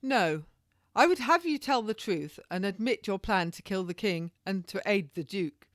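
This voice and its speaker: Woman voicing a menacing gentleman interrogator, raised pitch. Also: expressive, moderate pace, no echo, studio quality, natural voice. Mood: accusing. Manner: formal, accusatory, archaic speech.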